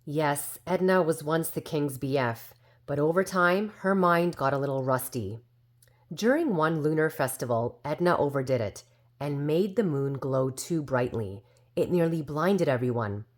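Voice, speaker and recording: A woman's voice: low-pitched, animated, a little slow. A woman voicing a prim, know-it-all younger sister fairy, telling a storybook tale in a smug, critical, gossipy way. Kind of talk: storybook character narration.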